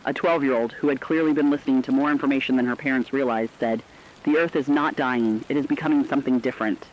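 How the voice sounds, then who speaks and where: A man playing a reflective, compassionate radio correspondent, delivering a scripted essay in an earnest, deliberate way. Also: medium pitch, fairly even intonation, highs cut off, slight background noise, lightly acted. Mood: reflective, tender. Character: reflective, compassionate male radio correspondent during a global crisis. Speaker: radio commentator.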